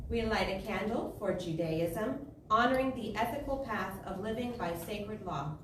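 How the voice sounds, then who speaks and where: A woman leads liturgy and guided prayer in a measured, solemn way, with a medium-pitched voice. She is picked up from a distance in an echoey room, with clear noise behind her. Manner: measured, solemn delivery in short phrases. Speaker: service leader reading candle lighting and prayer. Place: live service in a spiritual center.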